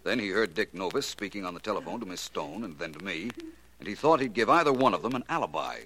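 An actor playing a shrewd, self-assured private detective in a theatrical, deliberate 1940s radio drama style. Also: male voice, lowish voice, expressive, fairly bright tone. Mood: explaining calmly. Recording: noisy old 1940s radio recording.

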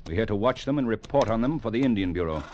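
A stern cavalry captain who lives by the book, acted by a man. He speaks low and quickly, curt and formal, in vintage radio style. The recording is dull, with faint noise. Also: close to an everyday voice. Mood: cold, scornful. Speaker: actor in a radio drama. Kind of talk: 1940s-50s radio acting. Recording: muffled, noisy old radio recording.

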